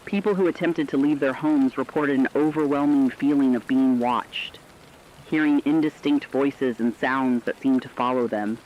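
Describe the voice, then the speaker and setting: A man's voice: mid-range voice, moderate pace, lightly acted. A man playing a solemn, formal news anchor giving emphatic warnings in a scripted emergency radio drama. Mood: solemn, urgent. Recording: dull lo-fi radio sound, rainy noise.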